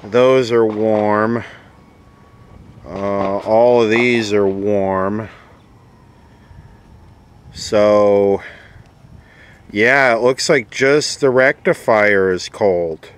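A man giving casual, off-the-cuff narration of a repair job, halting as he thinks aloud. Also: on the low side, steady tone, clear, resonant tone. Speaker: host, vintage radio repairer. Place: beside an old console radio being worked on.

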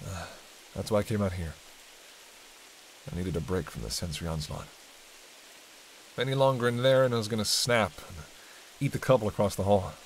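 A man's voice: fairly low pitch, normal speed, pauses often, natural voice, poor audio. A man playing a hungry, cranky vampire in a roleplay audio drama, bantering sardonically and intimately with a listener cast as a hunter. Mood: wry, confiding. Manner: sardonic, teasing, dry banter. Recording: steady rain and hiss behind.

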